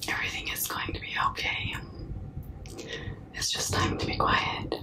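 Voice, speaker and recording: A young woman doing a comforting ASMR roleplay in a breathy, unvoiced whisper, hushing and soothing up close to the mic. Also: distant mic, poor audio. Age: young adult. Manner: slow, hushing, soothing, repetitive. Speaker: ASMR performer. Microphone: close mic, very near the camera.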